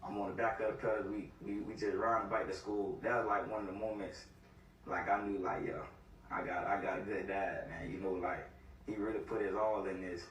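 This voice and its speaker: A young man speaking with heartfelt, casual, halting warmth about his father. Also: lowish voice, little pitch movement, slight background noise. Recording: echoey room from far off.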